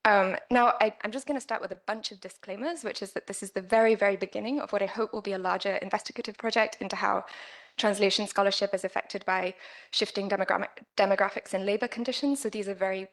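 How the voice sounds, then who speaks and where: A woman presenting an academic paper at a conference, with a quiet voice and frequent "um"s. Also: mid-range voice, fairly even intonation, fast. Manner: quiet-voiced, careful with many fillers. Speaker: conference speaker. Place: conference room.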